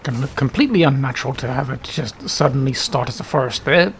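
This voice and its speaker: A man's voice: mid-range voice, fast, vocal fry, slight background noise. A man giving casual, off-the-cuff gameplay commentary on a headset mic, muttering short, loose remarks to himself. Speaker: gaming video host.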